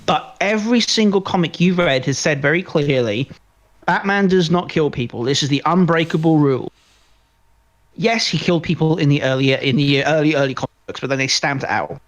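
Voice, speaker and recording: A man's voice: fairly high pitch, fairly quick, muffled recording. A man co-hosting a podcast, giving opinionated, emphatic film commentary in casual chat, often repeating phrases for stress. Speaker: podcast co-host. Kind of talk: casual film review discussion.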